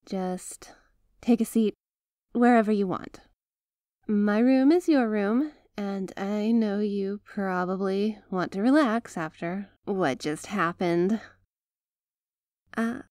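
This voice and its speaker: A woman playing an apologetic, lovestruck young mafia heiress in an intimate ASMR roleplay, talking in a hesitant, conversational, softly teasing way. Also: medium pitch, dry recording, studio quality, close to an everyday voice. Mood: apologetic, casual.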